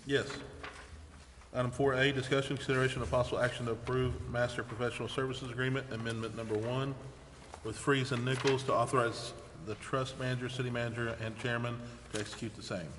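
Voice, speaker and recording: A man's voice: mid-range voice, little pitch movement, quick pace. A man speaking formally as chair of a procedural meeting in a council chamber. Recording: reverberant council chamber, clearly noisy.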